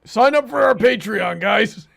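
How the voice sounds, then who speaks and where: A man hosting a livestream in casual conversation, talking haltingly and repeating words as he goes. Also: high voice, animated, creaky, a bit bright.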